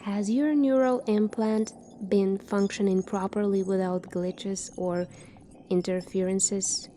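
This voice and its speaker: A soft-spoken woman doing an ASMR roleplay as a calm military doctor, asking questions in a measured way. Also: mid-range voice, slight background noise. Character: calm seasoned future military doctor examining a space recruit.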